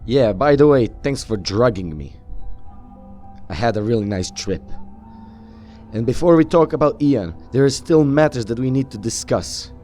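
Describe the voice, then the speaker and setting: A man roleplaying his video game character's dialogue in a deliberate, understated way. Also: mid-range voice, expressive, mellow, faint music behind. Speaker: gamer roleplaying the player character.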